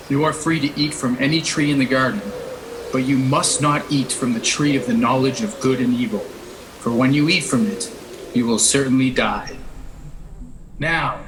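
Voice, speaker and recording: A man's voice: medium pitch, lightly acted. Man as solemn God the Father, birdsong behind. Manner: measured, solemn, declarative. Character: God the Father, calm authoritative creator. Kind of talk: scripture-like radio play acting. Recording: noisy home recording, birdsong behind.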